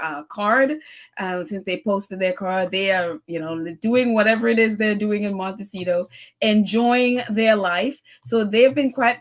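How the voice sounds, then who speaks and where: A woman hosts a live stream, talking casually and warmly. She reads out viewer comments and recaps the news in a loose, effusive way, with plenty of fillers and laughs. Her voice sits at an ordinary middle pitch.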